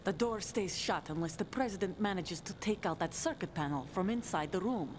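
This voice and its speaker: Woman playing low-voiced, vengeful mercenary commander. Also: expressive, a little fast, very noisy, lightly acted. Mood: hard, resolute. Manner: hard, terse, defiant. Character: bitter, vengeful female Russian mercenary commander. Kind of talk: video game cutscene acting. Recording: music under the voice. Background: music.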